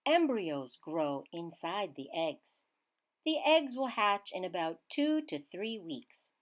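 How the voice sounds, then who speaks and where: Slow, expressive woman reading to children. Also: lowish voice. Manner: teacherly, simple expressive phrasing with emphasized key words. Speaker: children's read-aloud reader. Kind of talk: scripted read-aloud of a children's science book.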